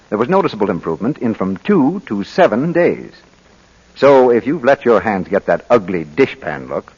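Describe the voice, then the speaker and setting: A man's voice: fairly low pitch, breathy edge. A man announcing in a scripted 1940s radio advertising style, on a muffled old broadcast recording.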